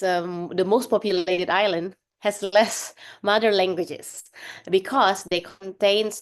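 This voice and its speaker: A young woman from Sulawesi with an Indonesian accent, giving an online workshop presentation in an earnest, explanatory way, pausing often with "um" and "uh". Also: mid-range voice. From Indonesia, Sulawesi (Toraja). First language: Toraja. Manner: earnest, explanatory, many "um" and "uh" pauses. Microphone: video call.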